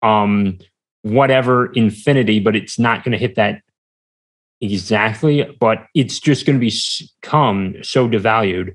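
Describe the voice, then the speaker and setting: A man with a fairly low voice talks casually as a podcast guest. His delivery is conversational and halting, as he often stops to search for the right words.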